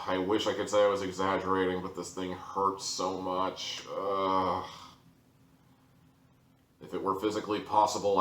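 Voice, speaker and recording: A man's voice: low voice, a bit bright. A man giving an informal, ranting critical review in an exasperated, theatrically dramatic way, drawing out words for emphasis. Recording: echoey room, distant mic, faint noise.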